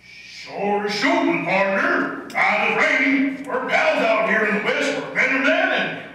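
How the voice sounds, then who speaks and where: A man's voice: very high voice, animated, takes it slowly, pushed and bright, heavily acted. A man voicing a hammy, swaggering cowboy with a mock Western accent in a staged old-time radio comedy. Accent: mock American Western. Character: hammy slow-drawling tough cowboy. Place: stage in a hall before a seated audience. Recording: very echoey room, distant camera microphone.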